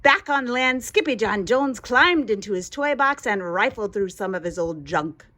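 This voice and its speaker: A woman reading a children's bedtime story aloud in an expressive storytelling style, with music behind her. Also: mid-range voice, moderate pace. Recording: music under the voice.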